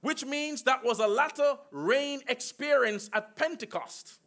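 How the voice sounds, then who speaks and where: A man preaching a sermon with emphatic call-and-response and slow, drawn-out phrases. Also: very high voice, expressive, a bit bright.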